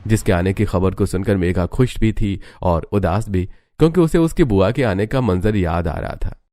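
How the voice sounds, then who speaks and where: Guy with a lowish voice telling a scripted family story. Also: moderate pace, dark tone, dry recording, clean studio sound. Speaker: story narrator. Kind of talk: scripted Hindi storytelling narration.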